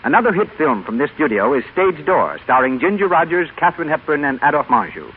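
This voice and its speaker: A man's voice: on the high side, very animated, a little fast. A man working as a radio announcer, reading formal scripted credits in a 1930s style. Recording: tinny, noisy 1930s radio broadcast.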